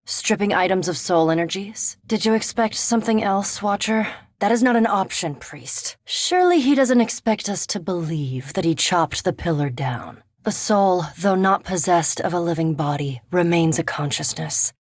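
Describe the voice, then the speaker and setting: A low breathy vampire lady scholar for a fantasy game, cool n formal, super dry close recording. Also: expressive, moderate pace, no echo. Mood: cool, intrigued. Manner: formal, precise, dry, reserved. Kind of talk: fantasy video game character dialogue.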